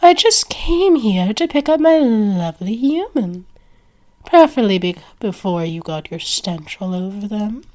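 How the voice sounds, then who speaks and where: A woman's voice: medium pitch, lively intonation, unhurried, darkish tone, close to an everyday voice. A woman voicing a possessive, haughty female vampire in an ASMR roleplay, scornful and bossy in a dramatic way.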